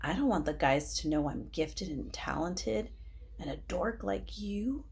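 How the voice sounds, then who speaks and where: Woman reading as an indignant, dramatic schoolboy, husky voice. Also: fairly low pitch, lively intonation, unhurried, darkish tone, heavily acted. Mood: secretive. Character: gifted young schoolboy narrator, indignant and dramatic.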